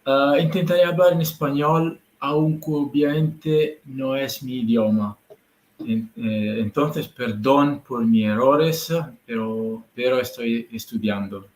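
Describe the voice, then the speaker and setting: A man with an Italian accent hosting a live online meeting, talking in a hesitant, halting way and switching between Spanish and English. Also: medium pitch, fairly even intonation, a little mellow, reverberant, poor audio. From Italy. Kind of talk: livestream briefing.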